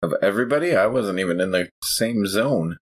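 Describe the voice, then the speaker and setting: Low-voiced man playing a tabletop game. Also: expressive, brisk. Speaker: tabletop role-playing player. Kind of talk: casual improvised game talk.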